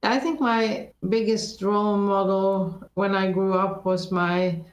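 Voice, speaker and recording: A woman's voice: medium pitch, steady tone, slow pace, roomy sound, low-quality recording. A woman whose first language is Norwegian, raised in Sweden, speaking thoughtfully as an interview guest with frequent restarts and self-corrections. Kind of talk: reflective conversational interview.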